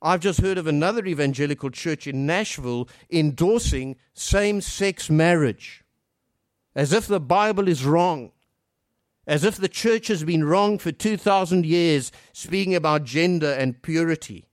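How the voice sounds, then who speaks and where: A man from Africa preaching a sermon earnestly, posing rhetorical questions and swinging between hushed and emphatic delivery. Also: a little high, measured pace, no room sound, clean studio sound.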